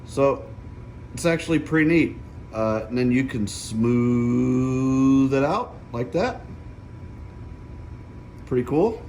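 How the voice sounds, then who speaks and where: A man's voice: mid-range voice, animated, slow, pauses often, a little mellow, slight background noise. A middle-aged man giving a casual hands-on tutorial, explaining things step by step and checking in as he goes. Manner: explanatory, often checking with "okay?". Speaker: DIY vlogger.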